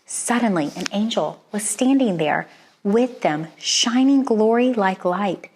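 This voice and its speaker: A woman narrates a children's story in a voice of middling pitch. Her read-aloud style is expressive and deliberate, using dramatic pauses and hushed emphasis to shape the telling. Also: a little hiss or hum, poor audio.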